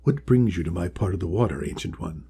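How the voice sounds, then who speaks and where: A man voicing a huge, ancient, wise male sea creature from a storybook, friendly and measured, with a deep voice. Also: lively intonation, gravelly, warm tone, slightly rough, exaggerated voice. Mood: solemn, welcoming. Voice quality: deep, resonant. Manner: slow, measured, kindly pronouncements. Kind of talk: storybook character voice.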